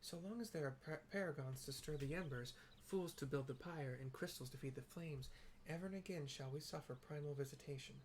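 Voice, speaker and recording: A person reading game dialogue aloud as a formal, earnest fantasy character, with theatrical, archaic, deliberate delivery. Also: medium pitch, slight background noise, close to an everyday voice. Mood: somber. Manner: theatrical, stately, deliberate wording.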